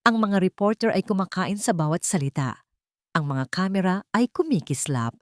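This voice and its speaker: Lively-pitched woman narrating melodrama. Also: moderate pace, no echo, studio quality. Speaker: story narrator. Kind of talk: dramatic scripted narration of romance melodrama.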